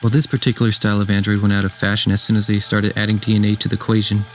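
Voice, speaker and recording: Kindly android tinkerer, played by a man: lowish voice, quick talker, tender and smitten, radio drama. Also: level tone, a little hiss or hum, close to an everyday voice. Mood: fascinated.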